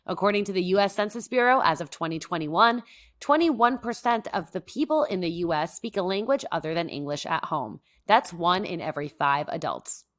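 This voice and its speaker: A young adult woman from Mexico co-hosting a podcast, upbeat and conversational, reading facts at a brisk pace. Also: lowish voice, animated, fairly bright tone. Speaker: podcast co-host. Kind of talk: casual podcast chat with scripted intro.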